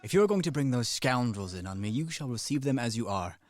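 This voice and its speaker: A man's voice: mid-range voice, sing-song, natural voice. Man playing cynical, threatening fugitive soldier. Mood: urgent, sardonic. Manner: brisk, commanding, sardonic. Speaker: actor in a student radio play. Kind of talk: radio play acting.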